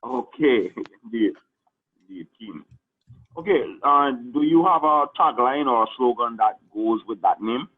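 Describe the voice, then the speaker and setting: A man hosting a radio interview show, asking questions in a relaxed, chatty way. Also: mid-range voice, a little slow, husky, narrow, tinny audio.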